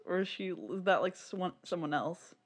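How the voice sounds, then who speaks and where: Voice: mid-range voice, expressive, moderate pace. A podcast co-host chatting casually in a rambling, candid, giggly way.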